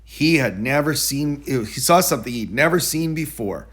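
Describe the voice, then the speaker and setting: Male read-aloud narrator for a children's picture book, medium pitch, very lively intonation, deliberate delivery. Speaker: storybook reader.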